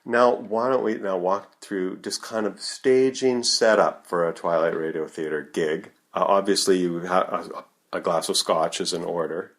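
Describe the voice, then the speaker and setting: A man's voice: low voice, moderate pace. A man hosting a podcast, interviewing in a casual, conversational style.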